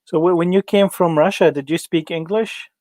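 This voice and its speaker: Man, Arabic-native, interview host, halting, fairly high pitch, dry recording. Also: steady tone, a little mellow, no room sound. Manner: halting, with many "uh" and "um" fillers. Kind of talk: casual interview.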